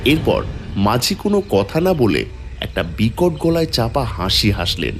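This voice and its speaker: A man's voice: medium pitch, big pitch swings, measured pace, faint music behind. A man narrating a scripted horror story in a dramatic storytelling style.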